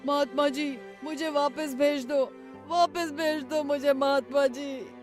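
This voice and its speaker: Lady doing a lazy young guy from a kids tale, excitable and wishful, exclaims a lot, music under. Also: mid-range voice, takes it slowly, lightly acted. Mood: desperate pleading.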